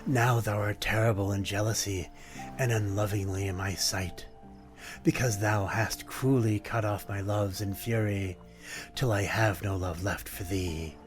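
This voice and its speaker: A man's voice: on the low side, fairly even intonation, a little slow, soft background music, close to an everyday voice. A man playing a lonely, courteous vampire in an ASMR roleplay, speaking in a formal, poetic and brooding way. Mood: melancholy, poetic. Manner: formal, archaic, poetic phrasing, gentle and brooding. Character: lonely, courteous, melancholy male vampire stranger by a harbor. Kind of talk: ASMR roleplay, slow theatrical monologue.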